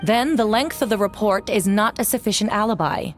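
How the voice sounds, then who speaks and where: A woman's voice: medium pitch, natural voice. Woman playing a stern, businesslike prosecutor. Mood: stern, formal. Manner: formal, firm, deliberate. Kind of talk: scripted game cutscene acting. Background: background music.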